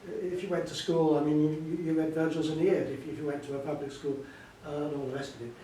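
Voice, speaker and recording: Older man, scholar, fairly high voice, discursive and emphatic, repeats himself, very echoey room. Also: little pitch movement, brisk, warm tone. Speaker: author and scholar being interviewed. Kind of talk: extended spoken explanation at a book event. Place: indoor event hall. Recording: echoey hall, distant mic, faint noise.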